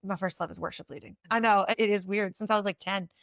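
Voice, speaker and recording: A woman in her thirties from Connecticut with a New England accent and a raspy voice, talking quickly as a guest in a casual interview. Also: medium pitch, fairly even intonation, fairly bright tone, dry recording, clean studio sound. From Connecticut, USA. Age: 30s. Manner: fast-talking, says "like" often. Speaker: podcast guest.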